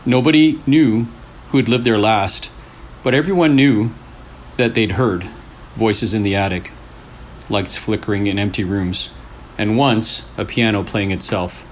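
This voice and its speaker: A man reading a scary story aloud in short, deliberate phrases with pauses for suspense. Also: on the low side. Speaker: storyteller, channel host. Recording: clearly noisy room recording.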